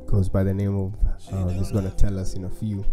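A man's voice: low-pitched, monotone, a little fast, over music. A young man hosting a casual interview, easygoing and slangy, with short affirmations. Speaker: host. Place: living room with mirrored wall decor. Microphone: studio mic on boom arm.

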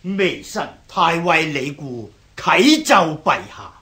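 An actor with a Hong Kong Cantonese accent playing a loyal, formal court minister in a declamatory radio drama style. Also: male voice, fairly high pitch, big pitch swings, takes it slowly, a crowd in the background. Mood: solemn, formal.

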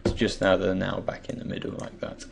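A man with a croaky, just-woken voice gives an unscripted software tutorial, hesitantly thinking aloud as he goes. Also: low voice, monotone, fairly quick. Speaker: tutorial presenter. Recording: faint background noise, keyboard and mouse clicks.

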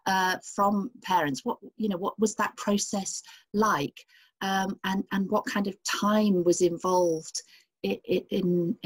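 A woman's voice: medium pitch, husky, poor audio. A woman chairing an online seminar who is losing her voice, politely relaying audience questions over a video call.

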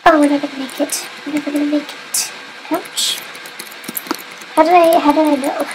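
A woman's voice: sits high, fairly even intonation, normal speed, background noise. A young woman giving casual, giggly commentary over her gameplay, her nose stuffed up as she comes down with a cold. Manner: chatty, giggly, self-mocking. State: stuffy nose, getting sick. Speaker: gamer.

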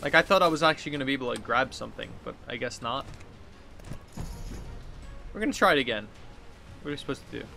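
Guy in his 30s doing casual game commentary, bright voice w some fry, bit of music behind. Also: medium pitch, expressive, fast talker, long pauses. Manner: informal, thinking aloud. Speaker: video game streamer.

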